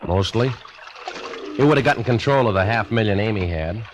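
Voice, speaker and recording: A man's voice: fairly low pitch, animated, brisk, close to an everyday voice. An actor playing a dry, easygoing bayou man who loves fishing, matter-of-fact, in 1940s radio drama style. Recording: noisy vintage radio recording with clicks.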